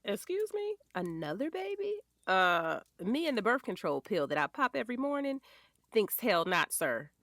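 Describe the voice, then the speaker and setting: A woman's voice: mid-range voice, very animated, normal speed, fairly bright tone, no echo, clean studio sound. A woman playing a doting but firm wife and mother in her forties, teasing and sassy, in a naturalistic audio drama.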